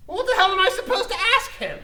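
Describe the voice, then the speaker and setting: A woman's voice: extremely high pitch, huge pitch swings, quick pace, pushed and bright, echoey room, exaggerated voice. A woman playing a brash, abrasive, easily exasperated interviewer in a comedy radio drama.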